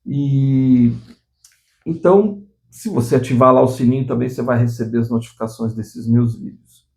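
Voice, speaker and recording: A man's voice: mid-range voice, normal speed, gravelly, darkish tone. A man in his sixties hosting his own channel, giving an earnest motivational talk to the viewer, often restarting phrases and adding tag questions. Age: 60s. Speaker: channel host.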